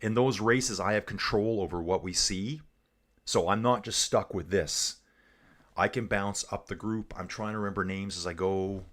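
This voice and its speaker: Low-voiced chatty man commentating a stream. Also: steady tone, normal speed, fairly bright tone. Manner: chatty, says "guys" and "dude" often. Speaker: livestream cycling commentator. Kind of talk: casual livestream commentary reading chat. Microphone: earbuds.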